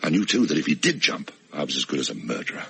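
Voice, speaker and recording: A man's voice: very deep voice, sing-song, quick pace, gravelly, pushed and bright, a little hiss or hum, exaggerated voice. An actor playing a flustered, self-absorbed man in a radio play, stammering and hesitant as a reluctant rescuer. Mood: wry, confiding. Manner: stammering, hesitant, nervously pleading. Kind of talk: radio play acting with inner narration.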